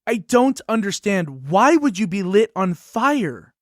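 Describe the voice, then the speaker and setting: A woman's voice: mid-range voice, big pitch swings, measured pace, no room sound, studio quality. A woman acting as a cheerful, naive library book in a musical puppet show, earnest and questioning. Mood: alarmed, bewildered. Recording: music behind the voice.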